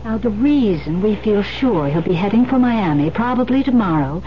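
A woman's voice: medium pitch, lively intonation, normal speed, natural voice. Actress as firm woman detective, muffled noisy radio. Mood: explaining, firm. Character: woman police detective, urgent, persuasive, guarded and firm. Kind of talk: old-time radio drama acting. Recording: muffled, noisy old radio broadcast recording.

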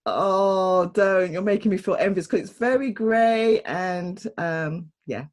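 A woman's voice: medium pitch, slow pace, a little mellow. A woman hosting an interview show, speaking in a chatty, effusive and encouraging conversational way.

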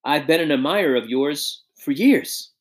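A man reads a shy young inventor with a modest, earnest way of speaking. The pitch moves in lively swings. It sounds like a storybook. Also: unhurried.

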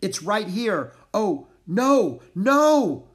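A man's voice: sits high, slow, pushed and bright, voice pushed up, exaggerated voice. Frantic male dog musher as a narrator acts him, raised voice, urgent and distressed, children's audiobook. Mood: earnest.

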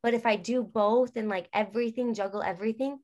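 A woman's voice: fairly high pitch, steady tone, fairly quick, darkish tone, lo-fi sound. Chatty young woman with rambling fillers. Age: young adult. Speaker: guest. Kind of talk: casual interview chat.

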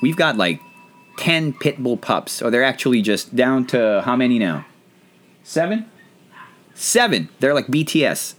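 A man's voice: mid-range voice, sing-song, measured pace, a bit bright, a little hiss or hum. A Filipino man, not a native English speaker, hosting a casual, rambling, self-deprecating chat show. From Philippines. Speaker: podcast host.

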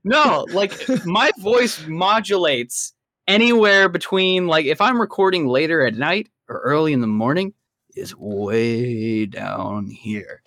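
A man guesting on a podcast in casual chat, talking in an excitable, animated way. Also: a little high, slow pace, no echo.

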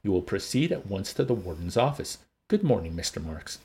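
A man's voice: big pitch swings, brisk, a little mellow, breathy edge, full character voice. A man voicing a formal, curt, authoritative world leader in a novel. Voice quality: deep, resonant as described.

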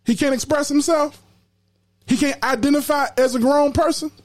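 A woman's voice: high voice, steady tone, normal speed. A woman hosting a podcast in casual, colloquial talk, emphatic and preachy, repeating words when fired up. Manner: colloquial slang. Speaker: podcast host.

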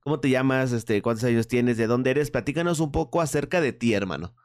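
A man hosts a relaxed stream interview in a medium-pitched voice. He asks friendly, looping questions and calls his guest "bro" and "brother.". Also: brisk. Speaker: interviewer, host.

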